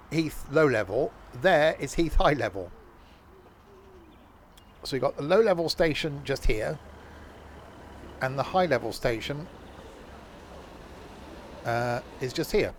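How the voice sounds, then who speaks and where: A man's voice: medium pitch, a little hiss or hum. A man streaming a train game, chatting casually and joking with live viewers, rambling with frequent self-corrections. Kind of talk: casual gameplay commentary.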